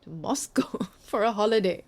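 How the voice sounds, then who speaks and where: An actress playing a hurt, anxious woman probing a strained relationship, in naturalistic audio drama style with halting, conversational delivery. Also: medium pitch, lively intonation. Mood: playful, probing.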